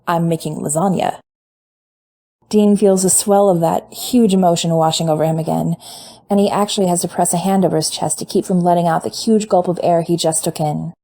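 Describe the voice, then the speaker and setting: A woman reading fan fiction aloud in a measured, intimate storytelling manner. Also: lowish voice, steady tone, mellow. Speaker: podfic reader. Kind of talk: fan fiction audiobook narration.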